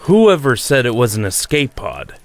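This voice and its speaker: An actor in an audio drama playing a sceptical, hard-nosed US army colonel with a terse, interrogating manner. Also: male voice, medium pitch, wildly swooping pitch, moderate pace, heavy background noise. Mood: probing.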